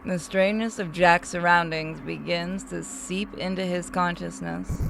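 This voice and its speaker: Creaky-voiced woman narrating a game. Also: on the low side, steady tone, a little slow, a bit bright, soft background music, rough recording. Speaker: narrator reading a visual novel game. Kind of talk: narrating a game script aloud with casual banter.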